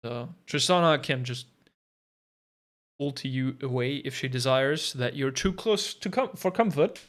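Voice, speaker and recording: A man's voice: medium pitch, a little slow, a bit bright. A man giving casual live commentary on an esports match from his home setup, thinking aloud and adding self-deprecating asides. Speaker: esports co-streaming caster.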